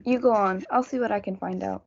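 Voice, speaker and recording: A woman's voice: mid-range voice, brisk, darkish tone, lightly acted. A female student performer playing a friendly, practical young man who keeps the peace in a reader's theatre play. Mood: concerned, curious. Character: young male Montague, friendly peacemaking cousin, coaxing and practical. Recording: dull, muffled lo-fi audio.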